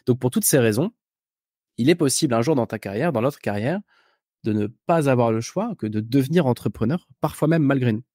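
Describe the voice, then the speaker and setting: A man's voice: mid-range voice, expressive, brisk, dark tone, no room sound, clean studio sound. A man hosting a podcast, explaining things in an informal, conversational way. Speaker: podcast host. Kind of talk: conversational podcast monologue and introduction.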